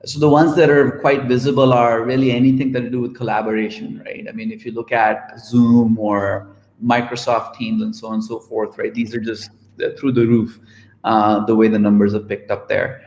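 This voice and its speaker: A man's voice: mid-range voice, moderate pace. Guy on a video panel, chatty, lots of you know and right, echoey room. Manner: relaxed, conversational, frequent "right?" and "you know". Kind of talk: online panel discussion. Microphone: video call.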